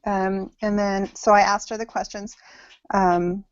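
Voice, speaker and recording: A woman in her thirties from Samoa and Hawaii, presenting to an online class in a halting way with frequent pauses, heard over a video call. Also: mid-range voice, level tone, clear, resonant tone, no echo. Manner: halting, pausing often mid-sentence. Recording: dull lo-fi video call audio.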